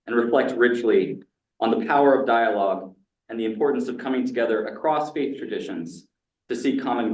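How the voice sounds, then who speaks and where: A man's voice: mid-range voice, darkish tone. A man hosting at a church, reading a formal, solemn introduction. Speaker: church host. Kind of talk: read introduction. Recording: echoey room from far off.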